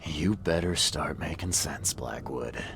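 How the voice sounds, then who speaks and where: A man's voice: rumbling low, takes it slowly, gravelly, noisy background, heavily acted. An actor playing a world-weary, suspicious male investigator in a video game, terse, dry and guarded. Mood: defiant. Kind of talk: understated video game cutscene acting.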